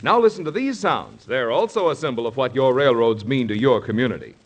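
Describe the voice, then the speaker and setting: A man's voice: medium pitch, lively intonation, a little fast, heavy background noise. A man reading a scripted 1950s radio sponsor announcement in a formal, polished salesman's manner. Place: radio studio. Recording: vintage 1950s radio broadcast.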